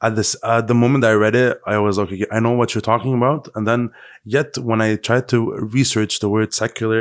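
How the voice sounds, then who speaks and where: A man with a fairly low voice hosts a conversational podcast. He works through his thoughts haltingly, often restarting a phrase. The sound is very dry, with no room around him. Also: dry recording. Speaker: podcast host.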